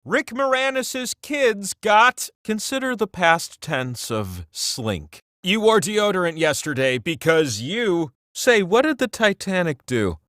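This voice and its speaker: A man voicing a whimsical, all-knowing talking wheel that hosts a trivia video game, theatrical and playful, with drawn-out emphasis. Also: high voice, very animated, a bit bright, no room sound, clean studio sound, full character voice. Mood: playful, grand. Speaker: game show host. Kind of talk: scripted trivia game hosting.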